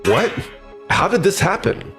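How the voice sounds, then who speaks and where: A man's voice: high voice, very animated, measured pace, music in the background, heavily acted. A man playing a scheming, self-important office manager with pushy corporate formality in a manga dub. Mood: panicked. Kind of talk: scripted manga dub acting.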